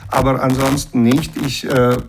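A middle-aged man from the Banat in western Romania, answering interview questions in a measured, explanatory way with frequent fillers. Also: medium pitch, level tone, gravelly, noisy background. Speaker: interview guest.

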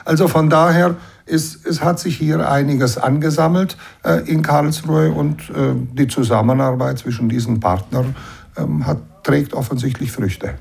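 A man in his sixties from the Banat in western Romania, explaining and recollecting as an interview guest in a measured way, often adding a short tag to his sentences. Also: fairly high pitch, creaky, a little mellow, breathy edge. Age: 60s.

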